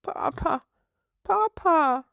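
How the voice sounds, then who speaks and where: Lady doing a prim little girl from a storybook, high voice, solemn n bossy, very proper wording. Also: lively intonation, very slow, vocal fry, a bit bright, no echo, dull audio, heavily acted. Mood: homesick, plaintive. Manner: precise, imperious, formal phrasing. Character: small solemn, particular, homesick little girl.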